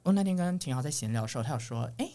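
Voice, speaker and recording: A man's voice: medium pitch, expressive, racing, dark tone. Male chat-show host, mid register, Taiwanese Mandarin, playful and informal. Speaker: podcast host. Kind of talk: casual chat.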